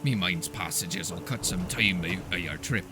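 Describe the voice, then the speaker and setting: A rustic dwarf miner, played by a male narrator, steady and very low in pitch. He speaks in a fantasy audio tale with clear music behind. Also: normal speed, close to an everyday voice. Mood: helpful.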